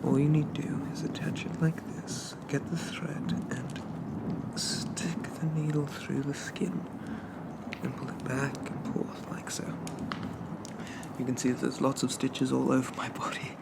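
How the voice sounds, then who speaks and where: A man playing a shy, polite undead zombie in a soft ASMR roleplay, stammering and hesitant in a gently formal way. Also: medium pitch, little pitch movement, unhurried, creaky, heavy background noise. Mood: gentle, polite.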